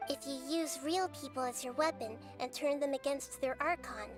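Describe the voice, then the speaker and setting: A woman voicing a small, child-like female deity in a video game, wise, gentle and analytical, with thoughtful, articulate phrasing. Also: high voice, normal speed, over music, close to an everyday voice. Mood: alarmed realization. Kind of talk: video game character acting.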